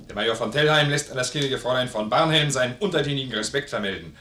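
A man's voice: medium pitch, quick pace, echoey room, noisy background, natural voice. An actor playing a stiff, respectful army sergeant in a classical radio play, clipped and military as he reports. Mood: stiff, deferential.

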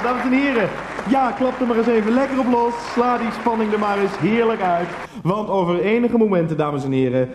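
An actor playing a smarmy, gushing game-show presenter in a radio play, theatrical and repetitive. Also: male voice, a little high, a little mellow. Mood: exuberant. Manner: unctuous, theatrical, repetitive, dramatic. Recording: echoey studio with clapping crowd.

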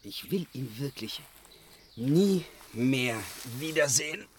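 An actor playing a brash, headstrong young hero in a fantasy radio play, with a theatrical way of talking. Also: male voice, mid-range voice, expressive, takes it slowly, a little hiss or hum. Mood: scornful. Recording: radio play with prominent music underneath.

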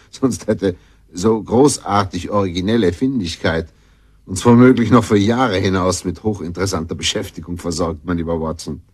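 An actor playing a self-assured, analytical detective who gives brisk orders and measured explanations in a German radio drama. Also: male voice, low voice, darkish tone, slightly rough, no echo. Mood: coolly analytical.

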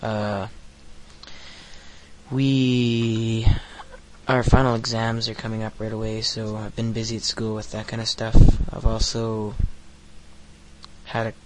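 Teen boy podcast host, low flat delivery, crude rambling rant, light background hiss. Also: slow, long pauses. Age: teens. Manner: crude, profane, rambling with many fillers. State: recovering from hoarseness. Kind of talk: casual solo podcast rant.

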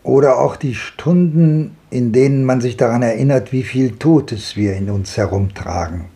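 An actor playing a melancholy old man who recalls lost hours in a quiet, elegiac way, in a 1950s radio drama. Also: mid-range voice, normal speed, lightly acted. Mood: wistful.

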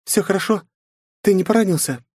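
A male narrator voicing a brisk, teasing female fighter and teammate in an audiobook. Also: sits high, darkish tone, husky, dry recording, clean studio sound, pitched above the performer's own voice. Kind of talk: audiobook character voice.

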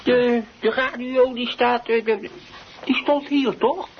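A man's voice: very high-pitched, fairly even intonation, takes it slowly, slight background noise, full character voice. An actor playing a genial, muddled father in a comedic radio play, hesitant, stammering and repeating himself. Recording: muffled radio broadcast, chatter behind.

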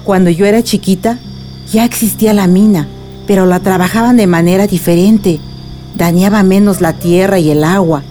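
An actress as a wise old Mexican grandmother in a radio play. Her voice is dark and mellow, tender and consoling like a storyteller, over soft music. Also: medium pitch, steady tone, moderate pace, lightly acted. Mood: solemn, reminiscing. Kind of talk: radio drama acting.

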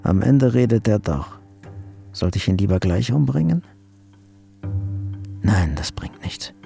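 A man's voice: fairly low pitch, very animated, slow pace, gravelly, warm tone, faint music behind, heavily acted. A man playing a secretive, obsessive murderer in a radio drama, muttering his brooding thoughts and at times whispering. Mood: calculating, uneasy. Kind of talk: radio drama inner monologue.

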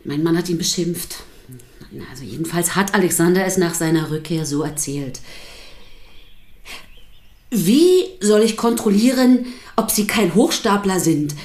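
An actress playing a sceptical pastor's wife in a radio drama, dry, articulate and dismissive. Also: female voice, fairly low pitch, animated, unhurried, pauses often, a little mellow. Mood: troubled recounting. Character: sceptical, sardonic pastor's wife under questioning. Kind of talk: naturalistic radio drama acting.

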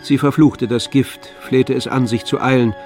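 A man narrating a literary radio drama in a measured, grave manner. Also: medium pitch, steady tone, darkish tone, faint music behind.

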